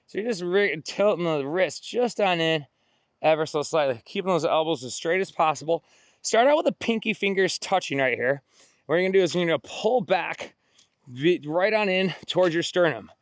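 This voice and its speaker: A man's voice: on the high side, clean tone, dry recording, clean studio sound. A middle-aged man coaching a workout outdoors, cueing form, counting reps and cheering people on. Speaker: fitness instructor. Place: outdoors on a beach.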